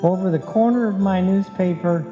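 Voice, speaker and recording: An actor playing a wry, deadpan husband who narrates his own domestic comedy in old-time radio style. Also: high voice, moderate pace, over music, close to an everyday voice. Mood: wry narration. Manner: dry, wisecracking. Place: on stage in a live performance. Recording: live hall recording, long reverb.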